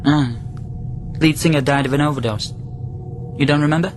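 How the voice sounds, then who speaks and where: An actor playing an angry, desperate astronaut commander held in quarantine, sarcastic and emotional, in a science fiction radio drama. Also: male voice, a little high, moderate pace, music in the background, natural voice. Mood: subdued.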